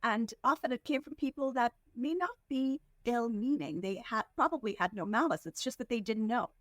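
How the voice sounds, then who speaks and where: A woman from India, a fundraising executive, giving earnest, measured answers as a podcast guest and slowing down to stress her points. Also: on the high side, lively intonation, fairly bright tone, no echo, clean studio sound. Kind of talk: reflective interview answers.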